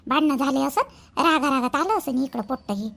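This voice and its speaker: A fussing village mother-in-law from a homemade cartoon played by a woman, extremely high and bright in voice. She chatters in Vidarbha Marathi dialect and repeats herself as she bustles about the household. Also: brisk, vocal fry. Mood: worried, wondering. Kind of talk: amateur cartoon voice acting.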